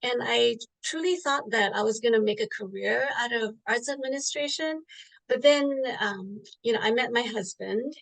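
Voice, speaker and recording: Gravelly-voiced woman author from Singapore. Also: a little high, low-quality recording. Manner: thoughtful, many fillers and restarts. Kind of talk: interview answer, casual storytelling.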